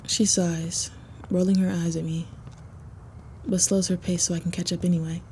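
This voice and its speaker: A woman voicing a confident, flirty vampire loner in a first-person roleplay audio, measured and self-assured. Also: lowish voice, level tone, dark tone, slight background noise, natural voice. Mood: intrigued.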